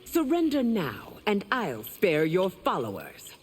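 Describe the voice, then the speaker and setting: A woman playing a regal, stern empress in a video game, speaking formally and with measured command. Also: medium pitch, wildly swooping pitch, darkish tone. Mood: defiant, commanding. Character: regal, stern warrior empress, compassionate but wrathful. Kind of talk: dramatic video game acting. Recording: long reverb, faint background noise.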